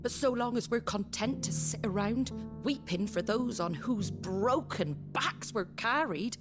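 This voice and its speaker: An actress playing an earthy, compassionate innkeeper rebel in a video game, with a regional English accent and plain, folksy speech. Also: female voice, a little high, animated, a little slow. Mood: grave, resolute. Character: Martha, earthy outspoken innkeeper woman, secretly compassionate rebel. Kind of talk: dramatic video game acting. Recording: music behind the voice.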